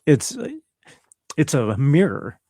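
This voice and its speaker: A man, a composer guest, answering casually in a relaxed, modest way with short replies. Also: medium pitch, slow, a little hiss or hum. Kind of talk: casual interview chat.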